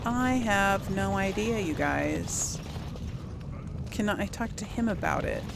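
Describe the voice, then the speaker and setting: Woman doing chill lets play commentary, slow n hesitant, thinking out loud, bit of bg noise. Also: mid-range voice, lively intonation, gravelly. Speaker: gaming video host. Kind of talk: casual let's play commentary.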